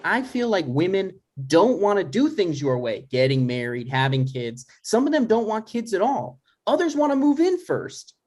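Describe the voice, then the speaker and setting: A man acts out an anxious adult son who wants approval, with a very animated, swinging pitch. He sounds earnest and exasperated, halting as he pleads, in an informal comedy read. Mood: pleading. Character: anxious, needy adult son seeking his dad's approval. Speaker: writer playing himself and reading stage directions.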